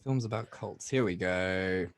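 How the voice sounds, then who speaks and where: A young man co-hosting a movie podcast in casual chat, laughing often and tossing in quick asides. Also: sits low, clean tone. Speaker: podcast co-host.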